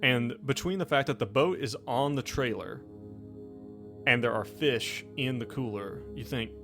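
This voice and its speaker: Halting male game master over music. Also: mid-range voice, fairly bright tone. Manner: halting, conversational, often rephrasing. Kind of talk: improvised narration of a tabletop roleplaying game. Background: background music.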